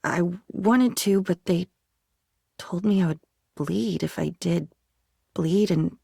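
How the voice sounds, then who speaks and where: A woman's voice: lowish voice, takes it slowly, gravelly, dark tone, husky, dry recording, studio quality, exaggerated voice. An actress voicing a volatile, lonely, dreamy woman in a video game, rambling theatrically from one non sequitur to the next. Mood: haunted, despairing. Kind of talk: video game character voice acting.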